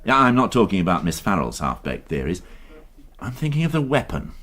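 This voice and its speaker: An actor playing a middle-aged police inspector in a British radio drama, sardonic, authoritative and quick to indignation. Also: male voice, sits low, big pitch swings, a bit bright, heavily acted. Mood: teasing. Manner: dry, teasing, authoritative.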